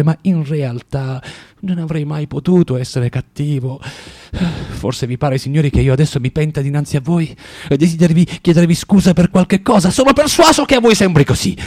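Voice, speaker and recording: A male actor playing a bitter, spiteful, tormented former clerk of about forty in a theatrical radio monologue, confessing with halting, emphatic delivery and dramatic pauses. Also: fairly high pitch, a little mellow, no room sound, studio quality. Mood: defiant.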